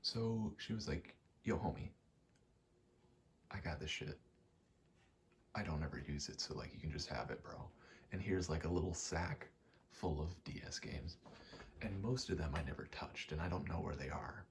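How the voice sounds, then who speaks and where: A man rambling casually in a soft, half-whispered ASMR style, telling stories in slangy, meandering, sweary speech. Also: deep voice, moderate pace, long pauses, slight background noise. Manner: soft-spoken, often dropping into whisper. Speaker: ASMR video host.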